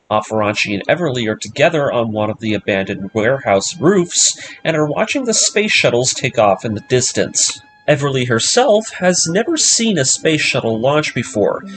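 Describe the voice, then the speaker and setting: A man's voice: mid-range voice, background noise. A man reading a scripted story recap as a narrator, with a dramatic storytelling delivery. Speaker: narrator of an anime story breakdown series. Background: music.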